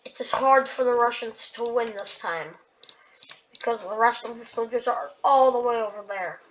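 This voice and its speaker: Young female voice, fairly high, halting war-map narration, muffled lo-fi sound, audible background noise. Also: a bit bright. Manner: halting, with many restarts. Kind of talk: casual play-by-play narration. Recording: dull lo-fi audio with clear background noise.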